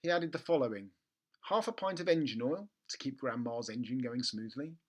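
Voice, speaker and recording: A man's voice: mid-range voice, lively intonation, moderate pace. A man around forty reading a children's story expressively, close on a mic in a living room. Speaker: storybook reader. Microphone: close studio mic with pop filter.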